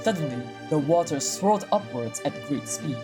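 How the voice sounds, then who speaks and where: A woman reading as the solemn storyteller of a mythic visual novel, measured and dramatic. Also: low voice, expressive, over loud music. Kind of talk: visual novel narration read aloud.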